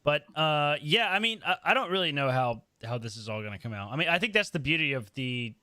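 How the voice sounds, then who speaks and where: A man's voice: on the high side, expressive, a little fast, bright, forceful tone, clear, resonant tone, no echo, studio quality. A man talking casually and informally as a panelist in a podcast discussion.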